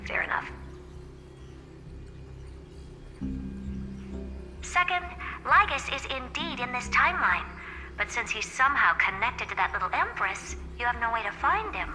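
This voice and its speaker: An actress playing a brisk, haughty, self-assured genius woman in a video game, smug and blunt in her delivery. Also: fairly high pitch, big pitch swings, bright, forceful tone, big-room sound, soft background music, heavily acted. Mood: matter-of-fact. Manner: smug, blunt, matter-of-fact.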